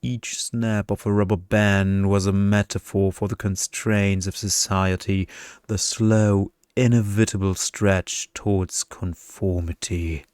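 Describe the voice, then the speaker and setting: A man narrating a short story in a deliberate, seductive ASMR storytelling style. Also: sits low, level tone, a little mellow, clear, resonant tone, dry recording, clean studio sound. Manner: slow, deliberate, seductive delivery.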